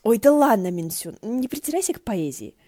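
Женщина озвучивает восторженного болтливого молодого бессмертного заклинателя в аудиокниге, оживлённо и театрально. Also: female voice, on the high side, fairly quick, pitched above the performer's own voice. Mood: playfully offended. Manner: eager, dramatic, animated. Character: exuberant, chattering young man, an immortal cultivator. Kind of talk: fan fiction audiobook dialogue.

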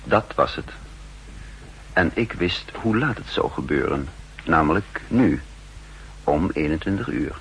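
An actor playing a shrewd, calm spymaster in a 1960s radio play, speaking in a measured, deliberate way. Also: male voice, rumbling low, exaggerated voice, rough recording. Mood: tense narrating. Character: shrewd, calm male spy posing as counterintelligence chief. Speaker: lead character and narrator. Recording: hissy, humming 1960s radio recording.

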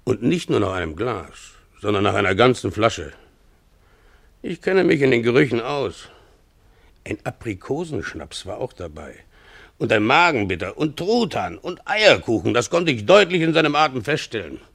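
A man's voice: medium pitch, sing-song, moderate pace, gravelly, fairly bright tone, no room sound, studio quality, heavily acted. A man playing a resentful, pious, poor donkey driver in a German radio play, grumbling with sanctimonious grievance. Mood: resentful, disdainful. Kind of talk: German radio play, character monologue and dialogue.

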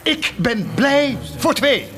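An actor in a Dutch radio drama playing a driven, scheming war leader with an intense, rhetorical delivery. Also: male voice, very high voice, animated, takes it slowly, exaggerated voice. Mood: exultant, insistent. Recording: music behind the voice.

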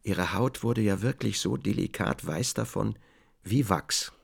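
Low, creaky-voiced male narrator. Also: a little slow. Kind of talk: scripted literary narration in a radio drama.

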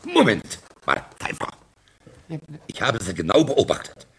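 Irascible old-school professor for radio comedy: creaky, slow, pedantic, blustering. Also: male voice, fairly low pitch, wildly swooping pitch, fairly bright tone, a little hiss or hum, exaggerated voice. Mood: stern, pedantic. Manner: pedantic, halting, blustering when challenged. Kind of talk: German radio comedy drama acting.

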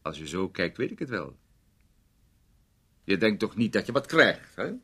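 An actor playing a mocking, needling man in a radio drama, with a gravelly, husky voice and a teasing, sarcastic way of talking. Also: low-pitched, very animated, normal speed, fairly bright tone, full character voice. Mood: taunting.

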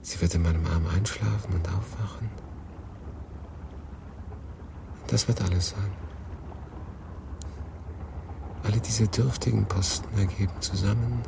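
A man's voice: on the low side, fairly even intonation, brisk, background noise. An actor playing a melancholy, detached male refugee who narrates his story in a pensive, understated radio drama style. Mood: quietly longing. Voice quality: husky.

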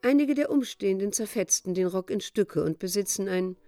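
An actress playing a matter-of-fact radio play narrator, delivering scripted lines in a measured way. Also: female voice, medium pitch, expressive, mellow, dry recording, natural voice. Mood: dry. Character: matter-of-fact female narrator reporting absurd events.

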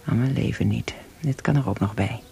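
An actress playing a bitter, grieving young married woman in a wartime camp, speaking a dramatic, often hushed inner monologue in a radio drama. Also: very deep voice, normal speed, vocal fry, slight background noise, exaggerated voice. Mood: scornful.

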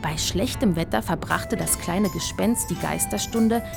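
A woman's voice: lowish voice, measured pace, gravelly, over music. A woman narrator reading a scripted children's story in a radio play.

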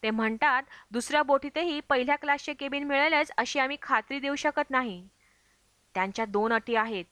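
A woman's voice: high-pitched, fairly quick, pushed and bright, no room sound. A woman reading a travel memoir aloud as scripted audiobook narration. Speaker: audiobook reader.

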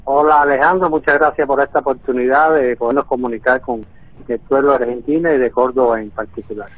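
Hombre de sesenta y tantos por teléfono. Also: male voice, medium pitch, steady tone, no echo. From Venezuela. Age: 60s. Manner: measured, hesitant with frequent fillers. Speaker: guest. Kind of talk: phone interview. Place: in Havana, Cuba. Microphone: telephone. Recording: tinny phone line.

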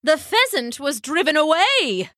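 A woman's voice: sits high, very animated, a little slow, sharp tone, no echo, studio quality, voice pushed up, full character voice. A woman voicing a pompous, bossy male dove in a children's story, affronted and emphatic. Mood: shocked.

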